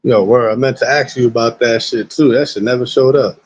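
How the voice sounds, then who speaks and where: Raspy laid-back New man. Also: mid-range voice, fairly even intonation, fairly quick, no echo. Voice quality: raspy smoker's voice. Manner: laid-back, rambling, profane. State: high. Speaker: livestreamer. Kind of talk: casual live-stream chat. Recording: dull lo-fi stream audio.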